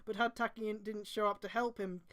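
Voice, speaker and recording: A woman's voice: medium pitch, fairly even intonation, fairly quick, fairly bright tone. A woman reading fan fiction aloud on a stream, breaking in with exasperated, casual, sweary commentary.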